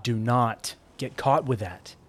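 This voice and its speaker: A man's voice: medium pitch, quick pace, close to an everyday voice. A man voicing a terse, demanding but kind young man on the run, curt and instructing, in an audio story. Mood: quiet, firm.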